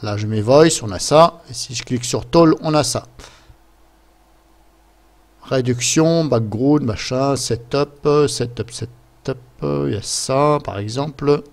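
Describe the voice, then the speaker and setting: Man rambling through a software tutorial. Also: mid-range voice, slow, creaky. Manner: rambling, hesitant, step-by-step, fond of filler words. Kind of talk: casual improvised screen-share tutorial. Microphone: computer microphone.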